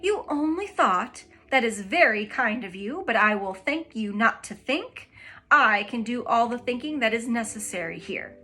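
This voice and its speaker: A woman voicing a tiny, ancient, fierce old shopkeeper with a shrill, cackling voice who swings from sweet to menacing. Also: lively intonation, normal speed, bright, forceful tone.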